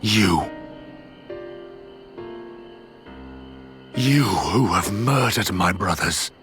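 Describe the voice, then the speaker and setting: A man playing a solemn, vengeful immortal sorcerer in a fantasy video game, speaking formally and deliberately with cold duty. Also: on the low side, creaky, fairly bright tone, heavily acted. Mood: cold fury. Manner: grave, deliberate, archaic formal speech. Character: solemn, vengeful immortal male sorcerer villain, coldly dutiful. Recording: orchestral music behind the voice.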